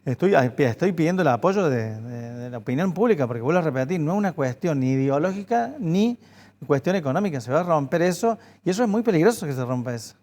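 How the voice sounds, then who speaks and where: A man's voice: fairly high pitch, expressive, fast, darkish tone. A man, a bookseller guest giving earnest interview answers and insisting on his points over and over. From Argentina. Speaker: bookseller association president, guest.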